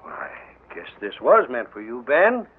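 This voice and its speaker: An actor playing a stern, measured older father and businessman in an old radio drama. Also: medium pitch, very animated, husky, narrow, tinny audio. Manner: measured, authoritative. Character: stern older male railroad man, a father. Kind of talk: 1940s radio acting. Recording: thin old radio broadcast.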